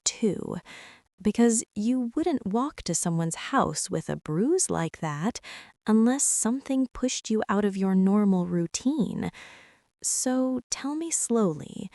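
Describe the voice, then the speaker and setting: A woman playing a gentle, nervous mother in a soft-spoken ASMR roleplay, tender, hushed and hesitant as she reassures and fusses. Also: medium pitch, no echo, studio quality. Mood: concerned, pensive. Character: gentle, nervous mother fussing over a hurt boy.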